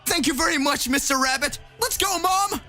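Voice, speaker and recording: Squeaky high little boy. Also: moderate pace, bright, forceful tone, soft background music. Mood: grateful. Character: frightened, polite little boy. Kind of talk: anime fan-fiction dramatic reading.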